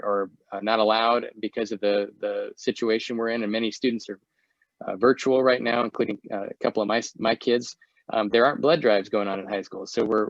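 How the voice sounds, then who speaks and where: A middle-aged American man with a fairly low voice presents slides in a halting manner. The sound is dry and somewhat muffled, as on a video call. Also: no echo. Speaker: guest speaker, volunteer presenter.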